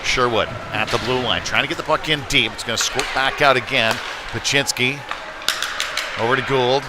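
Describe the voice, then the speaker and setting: A man doing live play-by-play hockey commentary in a halting delivery with frequent fillers. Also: medium pitch. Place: indoor ice rink. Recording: noisy live rink stream.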